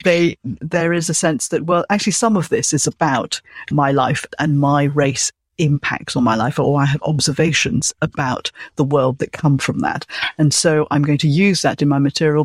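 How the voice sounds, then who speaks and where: A woman's voice: sits low, moderate pace, dry recording. Woman hosting a podcast, thoughtful and articulate, dry close sound. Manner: reflective, articulate. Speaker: podcast host, writer. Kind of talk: podcast interview.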